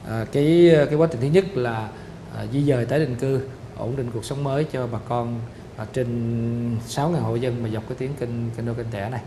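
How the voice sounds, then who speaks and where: A middle-aged man explaining a city project in a formal interview, measured and full of "ờ" pauses. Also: mid-range voice, fairly even intonation, noisy background. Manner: measured, explanatory, frequent "ờ" fillers. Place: studio office set with photo wall. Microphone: lapel mic.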